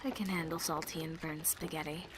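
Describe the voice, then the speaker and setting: A woman acting a weary, stoic injured young sister in an audio drama, soft, breathy and dryly humorous. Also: deep voice, steady tone, a little fast, slight background noise, lightly acted. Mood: weary, wry.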